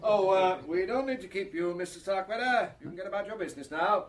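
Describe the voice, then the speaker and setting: An actor playing a genial, wry police inspector in a radio drama, courteous and indulgent. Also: male voice, sits high, lively intonation, fast talker.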